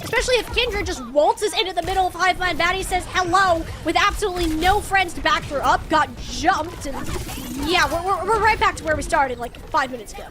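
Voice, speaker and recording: A woman's voice: very high voice, brisk, noisy background. A woman doing live play-by-play commentary of a video game match, in a playful, colloquial, exclamatory way. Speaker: esports caster.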